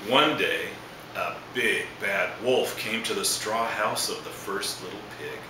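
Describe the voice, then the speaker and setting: A man's voice: on the low side, measured pace. A man reading a children's storybook aloud as a storyteller, adding asides. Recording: echoey, distant, noisy room recording.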